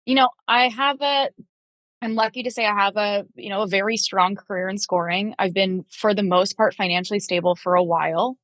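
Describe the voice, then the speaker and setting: A woman's voice: medium pitch, fairly even intonation, a little fast, fairly bright tone, no room sound. A young woman guest talking candidly in a casual podcast chat, with many fillers and restarts. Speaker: guest composer and vocalist.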